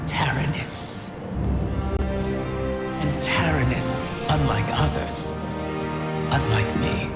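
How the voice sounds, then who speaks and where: A woman voicing an ancient, proud, bitter female dragon in a video game, with a slow, grand, cryptic delivery and a cavernous creature effect. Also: low voice, gravelly, full character voice. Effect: cavernous reverberant creature effect. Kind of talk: dramatic video game voice acting. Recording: long echoing hall reverb, loud music.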